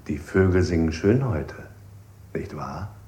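An actor playing a domineering, contemptuous interrogator in a 1960s radio play, speaking in a curt, bossy way. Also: male voice, extremely low pitch, expressive, slow, mellow, exaggerated voice. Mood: falsely pleasant. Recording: echoey room.

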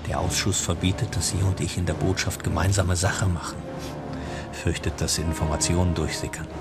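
A man's voice: low-pitched, flat delivery, moderate pace, over loud music. An actor in a radio drama playing a secretive, terse British intelligence man who talks in clipped sentences. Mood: guarded, terse.

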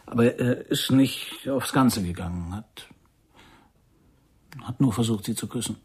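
An actor playing a blunt, sardonic private detective in a radio crime drama, drawling his probing questions in a gravelly, husky voice. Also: medium pitch, measured pace, a little mellow. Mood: prodding.

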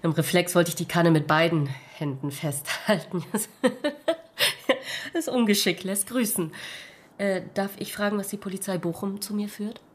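An actress plays an upper-class woman dealer in a radio crime drama. Her voice is very low for a woman, and she is poised and haughty, keeping a formal, guarded politeness. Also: normal speed, lightly acted. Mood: polite, composed.

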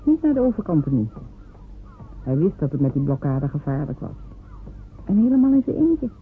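A woman's voice: deep voice, very animated, moderate pace. A woman playing doubting, prying neighbour Nancy. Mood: doubtful. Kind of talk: radio play acting. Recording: narrow phone-like radio recording with faint hiss.

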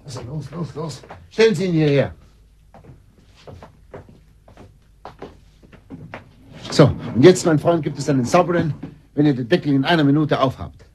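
An actor playing a self-assured, analytical detective who gives brisk orders and measured explanations in a German radio drama. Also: male voice, on the high side, darkish tone, slight background noise, lightly acted. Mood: urgent, commanding.

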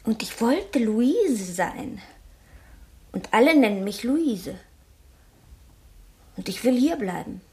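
An actress in a German radio drama playing a traumatised, childlike, fearful woman who speaks haltingly and repeats herself. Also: a little high, very animated, darkish tone, exaggerated voice. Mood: weary, withdrawn. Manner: halting, repetitive, childlike storytelling. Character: traumatised young woman living under her dead double's name, childlike, fearful.

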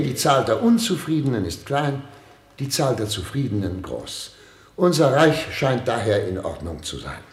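An actor playing a pompous, fretful head of state in a German radio play, speaking in an oratorical, theatrical way. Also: male voice, medium pitch, a little mellow. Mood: brooding. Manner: pompous, oratorical, theatrical throat-clearing and pauses. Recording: roomy, reverberant radio studio sound.

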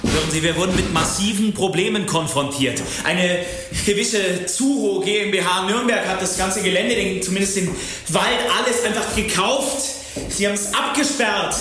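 A man's voice: high voice, moderate pace, lightly acted. A man in a radio drama playing an agitated archaeologist who pleads urgently for help. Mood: urgent, worried. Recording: very echoey, music underneath.

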